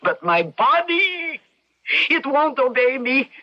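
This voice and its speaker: An actress playing a proud, desperate ballet dancer, melodramatic and imperious, in an old-time radio drama. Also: female voice, high voice, big pitch swings, slow pace, heavily acted. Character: proud, desperate female ballet dancer craving lost youth. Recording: dull, lo-fi old radio recording.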